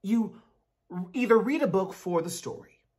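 A man's voice: high voice, lively intonation, normal speed, darkish tone. A man in a dramatic book reading, playing an aloof, bookish genius teenage boy with a precise, pedantic delivery. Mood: instructive. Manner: pedantic, theatrical. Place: small bare room at home. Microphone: video call.

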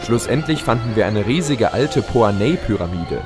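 A man's voice: fairly low pitch, lively intonation, moderate pace, music in the background. A man narrating a scripted adventure audio drama and voicing its confident hero. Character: confident young male adventurer pegasus narrating his tale. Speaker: narrator and lead voice.

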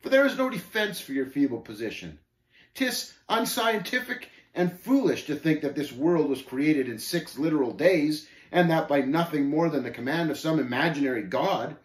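A man's voice: on the high side, expressive, normal speed, pitched above the performer's own voice, natural voice. Man acting a haughty, sneering scoffer in echoey room. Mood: sneering, gloating. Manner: contemptuous, gloating, archaic speech, snapping. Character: haughty sneering scoffer named Shame, taunting pilgrims. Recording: roomy, echoing voice recording.

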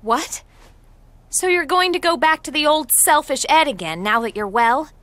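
A woman playing an outspoken but caring young heroine in a fantasy video game, forthright and expressive. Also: high voice, normal speed, no room sound. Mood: blunt, puzzled. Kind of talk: video game cutscene acting.

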